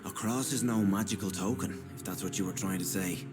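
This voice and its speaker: A low-voiced, weary man of faith , played by a male actor in a dramatic video game. He speaks earnestly and humbly, with courteous plainness, while music plays behind him. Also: fairly quick, natural voice. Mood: humble, earnest. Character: weary, devout, humble man running a homeless shelter.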